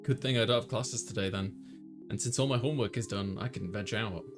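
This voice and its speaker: A man's voice: fairly low pitch, brisk, pitched below the performer's own voice, close to an everyday voice. A man voicing an easygoing young student, thoughtful and casual, in a visual novel reading. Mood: relaxed. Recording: music playing behind the voice.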